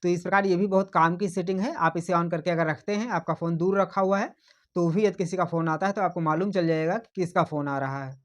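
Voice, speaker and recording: A man's voice: high-pitched, fairly even intonation, a little fast. A man hosting a tech tutorial. He walks patiently through phone settings step by step, repeats his points and keeps pointing things out.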